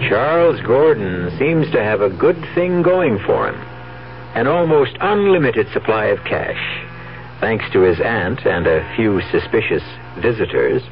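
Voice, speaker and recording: Male suspense host, very low and expressive, ominous narration, dull vintage radio sound. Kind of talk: ominous, theatrical scripted narration. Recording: muffled vintage radio broadcast with music.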